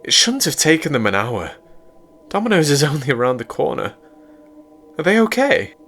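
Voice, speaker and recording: A man's voice: on the high side, very animated, normal speed, a bit bright, pitched above the performer's own voice, exaggerated voice. A man voicing a frightened young woman, his girlfriend, in a horror story, pleading and shaky. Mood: worried. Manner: pleading, shaky, rising to panic.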